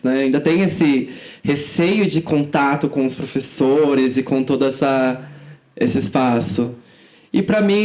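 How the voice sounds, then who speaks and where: Young woman, somewhat low-pitched informal speaker. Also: dark tone. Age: 20s. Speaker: podcast guest, student activist. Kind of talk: conversational interview answers.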